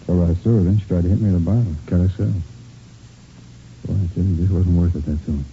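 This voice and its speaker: An actor playing a slick, irritable American boyfriend under police questioning, defensive and dismissive, in old radio drama style. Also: male voice, fairly even intonation, quick pace, a little hiss or hum. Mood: evasive, irritated. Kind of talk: 1950s radio drama acting. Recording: dull, rumbling vintage radio recording.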